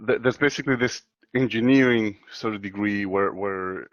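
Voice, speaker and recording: A man from Chile in his thirties, talking in a casual interview as the guest, soft-spoken and hesitant with many fillers. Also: on the low side, normal speed, dry recording, highs cut off. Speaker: guest computer scientist.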